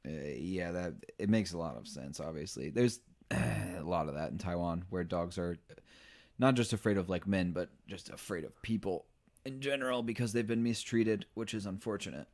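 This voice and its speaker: Chill young guy on a podcast, kinda low voice, laughs a lot, rambles and restarts. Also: normal speed, darkish tone. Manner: laid-back, laughs often, trails off and restarts. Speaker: podcast co-host. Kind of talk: casual podcast chat.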